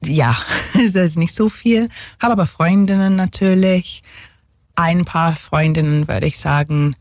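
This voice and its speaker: A woman from England, speaking German as a second language with an English accent, talks haltingly in a casual interview, searching for words and slipping in English ones. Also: lowish voice, a little mellow, no room sound, studio quality. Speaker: guest, single mother.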